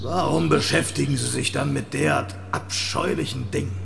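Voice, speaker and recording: A man's voice: mid-range voice, steady tone, normal speed, gravelly, fairly bright tone, a little hiss or hum. An actor playing a stern, emotional, accusing alien man in a radio drama. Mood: appalled. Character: accusing, indignant male alien native. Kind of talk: German radio drama acting.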